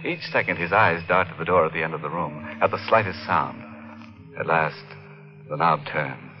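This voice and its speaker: A man narrating as a 1940s radio announcer, theatrical, deliberate and suspenseful. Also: extremely low pitch, noisy background. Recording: muffled old radio broadcast. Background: music.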